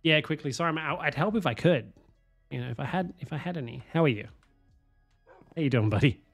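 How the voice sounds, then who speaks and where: A man, streaming a game from home on a headset, hoarse from a cold, swearing and joking with chat. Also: on the high side, expressive, fairly quick, soft background music. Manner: swearing, self-mocking, chatting to viewers. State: hoarse, losing his voice from a cold. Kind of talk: casual live-stream chatter while playing.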